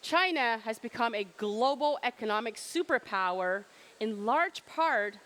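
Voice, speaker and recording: A woman's voice: fairly high pitch, animated, measured pace, a bit bright. A middle-aged woman from Cambodia giving an emphatic keynote presentation at a trade fair and asking rhetorical questions.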